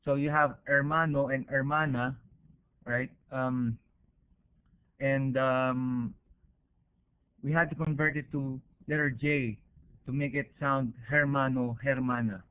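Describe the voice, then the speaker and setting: A man's voice: mid-range voice, fairly even intonation, slow, long pauses, clean tone. Filipino-accented man, thin phone-like audio. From Philippines. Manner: hesitant, explanatory, checks in with "right?" and "okay?". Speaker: university researcher presenting. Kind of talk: informal academic presentation.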